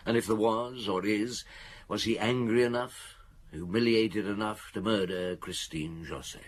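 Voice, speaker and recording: An actor playing a measured, brooding police detective in a radio crime drama, terse and probing. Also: male voice, fairly low pitch. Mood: brooding, doubtful.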